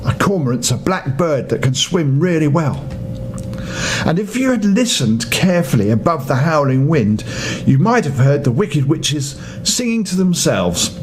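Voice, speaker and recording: An older man reading a children's bedtime story aloud, with dramatic emphasis and drawn-out phrases. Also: sing-song. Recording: noisy home recording with low rumble.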